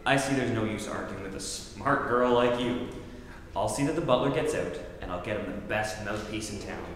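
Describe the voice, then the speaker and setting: An actor playing a wisecracking, exasperated detective in a staged 1940s radio comedy. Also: male voice, lowish voice, normal speed, fairly bright tone. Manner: brash, exasperated. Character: wisecracking hard-boiled radio detective man. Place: theatre stage. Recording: echoey theatre, faint hiss.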